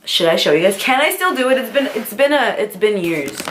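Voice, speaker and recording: A woman's voice: a little high, lively intonation, a little fast, fairly bright tone, slight background noise. A young American woman chatting casually through a vlog tag video, rambling and giggling as she answers questions. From United States. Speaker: vlogger.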